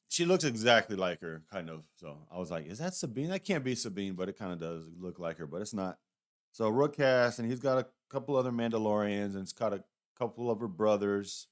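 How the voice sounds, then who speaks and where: A man with a fairly low, smooth voice talks through a casual review. His delivery is conversational and unscripted, wandering from point to point and hedging as he goes. The tone is clean and resonant. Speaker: YouTube reviewer.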